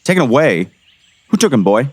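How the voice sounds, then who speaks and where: Man playing a fast-talking folksy small-town sheriff. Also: medium pitch, sing-song, a little mellow, breathy edge, dry recording. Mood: urgent. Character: folksy, earnest small-town male sheriff, fond of his dog. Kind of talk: comic audio drama acting.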